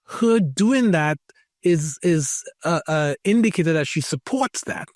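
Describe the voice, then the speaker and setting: A man's voice: fairly high pitch, animated, measured pace, darkish tone, dry recording, clean studio sound. A man from Trinidad hosting a podcast interview, speaking thoughtfully with hesitant restarts. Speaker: podcast host. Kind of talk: conversational interview.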